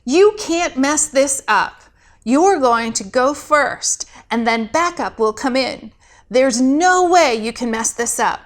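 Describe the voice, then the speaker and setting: Storybook senior angel, played by a woman: high-pitched, emphatic, firmly encouraging coach. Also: expressive, slow pace. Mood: urgent, encouraging. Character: senior angel Gabriel, firm encouraging mentor.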